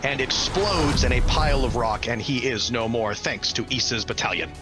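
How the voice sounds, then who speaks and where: A man's voice: medium pitch, normal speed. A man working as a game master, giving dramatic narration for a tabletop fantasy game. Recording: loud music behind the voice.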